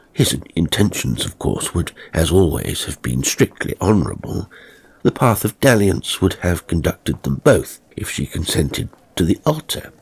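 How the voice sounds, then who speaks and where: Deep, gravelly man narrating a story. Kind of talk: scripted ghost story narration.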